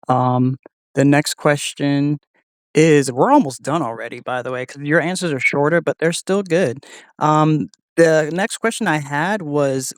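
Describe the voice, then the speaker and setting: A man hosting a software demo in a casual, conversational way. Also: a little high, no room sound, studio quality. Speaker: host testing an AI voice assistant.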